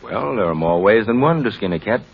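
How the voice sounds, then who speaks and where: Low-voiced family doctor, deliberate, frank, advisory, old radio drama, band-limited sound. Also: male voice, animated, husky. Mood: measured advice. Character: measured, frank family doctor giving advice. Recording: dull vintage radio recording.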